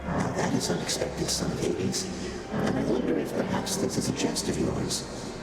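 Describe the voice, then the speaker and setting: An actor playing an ancient, detached, all-knowing deity in a video game, formal and measured, with layered otherworldly processing. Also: male voice. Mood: intrigued, aloof. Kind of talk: video game character acting. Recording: echoey, with music behind.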